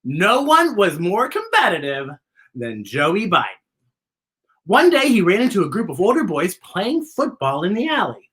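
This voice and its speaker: Very animated, bright-voiced woman reading to kids. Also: medium pitch, moderate pace. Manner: playful, theatrical, exclamatory. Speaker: children's story time host. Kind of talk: animated picture-book read-aloud with chatty asides to children.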